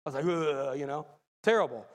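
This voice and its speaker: A man preaching a sermon, a little raspy and hoarse from a cold, excitable and laughing as he talks. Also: a little high, fairly quick, long reverb tail. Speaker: lay preacher.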